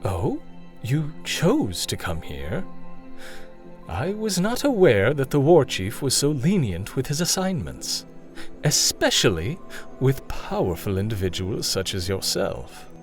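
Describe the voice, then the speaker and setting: Slow, very animated male traveler. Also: mid-range voice, soft background music. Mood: surprised, probing. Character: courteous, probing male traveler, a searching stranger. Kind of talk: audio drama character acting.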